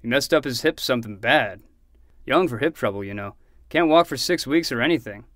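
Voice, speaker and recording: A man's voice: medium pitch, lively intonation, fairly quick, fairly bright tone, no room sound, studio quality, lightly acted. A man playing an easygoing, protective uncle in a fiction podcast, conversational and matter-of-fact. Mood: casual, wry. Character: easygoing, protective adult uncle, mildly flustered.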